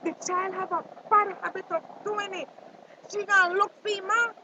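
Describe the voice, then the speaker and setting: A woman's voice: very high voice, steady tone, moderate pace, muffled recording, noisy background. A woman playing a concerned, forthright fishing-town local with a Belizean Creole accent in a radio drama.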